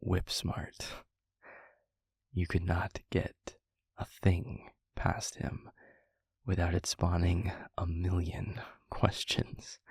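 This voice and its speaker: A man voicing an adult demon mentor in an intimate roleplay audio, confiding gently in the listener in a breathy, gravelly, halting murmur. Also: deep voice, no room sound, heavily acted. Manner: hushed, halting, unhurried, confiding. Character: adult male demon mentor, reflective, gentle, quietly amused. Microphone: close microphone, dry.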